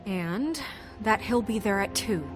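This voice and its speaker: An actress playing an earnest, stressed, assertive office administrator in a video game, firm and practical. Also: female voice, mid-range voice, expressive, slow, music in the background. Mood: determined. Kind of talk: naturalistic video game acting.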